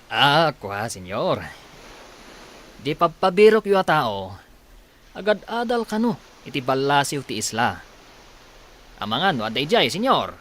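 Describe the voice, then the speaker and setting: Radio-play style male actor as bumbling hired man: hesitant, eager to please, very animated pitch. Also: a little slow, pauses often. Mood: confused, deferential. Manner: stammering, questioning, deferential. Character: hesitant, simple-minded hired man serving a senyor. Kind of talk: dramatized audio story acting. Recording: faint background noise.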